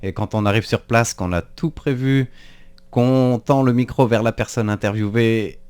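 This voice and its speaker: A man's voice: medium pitch, moderate pace, a little mellow, clean tone. A man presenting a radio show in a casual, conversational way.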